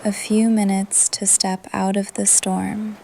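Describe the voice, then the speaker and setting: A woman leading a guided meditation in a soft, calm, breathy voice that often trails into a whisper, with gentle pauses. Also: mid-range voice, a little hiss or hum. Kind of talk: guided meditation, yoga nidra narration. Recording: ocean surf under the voice. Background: ocean waves ambience.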